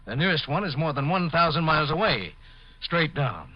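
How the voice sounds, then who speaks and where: American army doctor in an old 50s sci-fi radio show, worried and dutiful, muffled lo-fi sound. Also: male voice, a little high, sing-song, moderate pace, loud music behind the voice. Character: worried, dutiful military doctor on a space station. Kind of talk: 1950s radio drama acting. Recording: muffled vintage 1950s radio recording.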